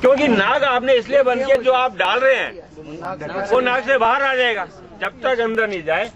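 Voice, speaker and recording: A man with a notably high voice instructs a practical first aid class. He goes through the technique step by step and checks that it is understood. The outdoor recording is dull and muffled. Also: normal speed, crowd noise. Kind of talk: hands-on demonstration teaching.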